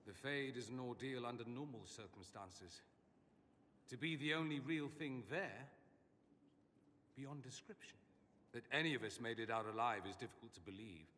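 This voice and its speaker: A man's voice: medium pitch, moderate pace, pauses often, pushed and bright, slight background noise, lightly acted. A man playing a witty, haughty, cultured mage scholar in a video game, with a theatrical, sardonic and articulate delivery. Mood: grave, troubled. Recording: long echoing hall reverb.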